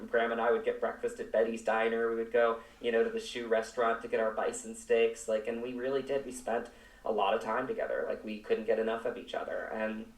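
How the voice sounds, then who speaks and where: A man's voice: medium pitch, gravelly. A man speaking as an actor in an interview, in an earnest, conversational style, reflective and emphatic, circling back over his phrases. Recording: roomy echo, distant microphone.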